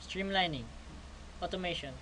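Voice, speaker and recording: A man's voice: high voice, normal speed, bright, forceful tone, rough recording. A twenty-two-year-old man from the Philippines, a student presenting a class project, reading stiffly and repeating phrases. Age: 20s. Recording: noticeable background noise.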